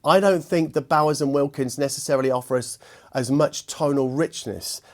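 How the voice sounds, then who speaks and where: A man hosting a product review, speaking to viewers in a measured, articulate and precise way. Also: mid-range voice, steady tone, darkish tone. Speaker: host and audio equipment reviewer.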